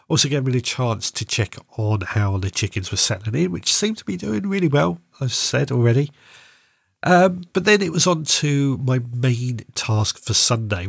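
A middle-aged man hosting his podcast, stuffed up from a cold and chatting in a relaxed way with frequent ums. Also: mid-range voice, creaky, slightly rough, no room sound, clean studio sound. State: congested from a cold. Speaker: podcast host. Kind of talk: solo casual update.

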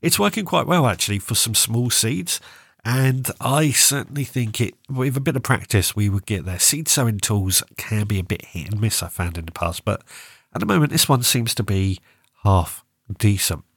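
Congested male podcast host, low voice, casual rambling gardening chat, dry close mic. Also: lively intonation, normal speed, gravelly, fairly bright tone, husky, dry recording. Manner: rambling, self-correcting, chatty. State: congested, sore throat and cough.

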